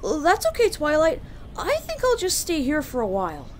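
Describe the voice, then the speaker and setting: Woman playing small boy dragon, cartoon, childlike high voice, hesitant, wary, lively intonation. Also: moderate pace, slight background noise. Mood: contented. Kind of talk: cartoon comic dub.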